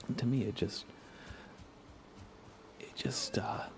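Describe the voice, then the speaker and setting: A man chatting casually in a soft ASMR gaming-stream voice, often dropping toward a whisper. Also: fairly even intonation, takes it slowly. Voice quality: creaky, low. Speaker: livestreaming gamer.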